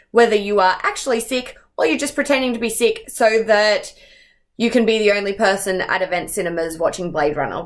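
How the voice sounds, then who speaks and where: A woman's voice: mid-range voice, a bit bright. A young adult woman from Australia with an Australian accent, explaining things in a dry, wry vlog monologue. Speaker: vlogger.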